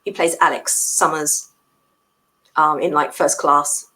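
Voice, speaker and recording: Female co-host for a book chat, lowish pitch, tentative and thoughtful, casual video-call delivery. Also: fairly even intonation, slow, bright, forceful tone, rough recording. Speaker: read-along co-host, guest.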